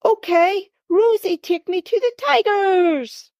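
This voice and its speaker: Lady doing a little kid voice for a storybook, high and bouncy, dry close sound. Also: takes it slowly, darkish tone, no echo, full character voice. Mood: eager. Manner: high bouncy childlike calls. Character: small excitable little boy.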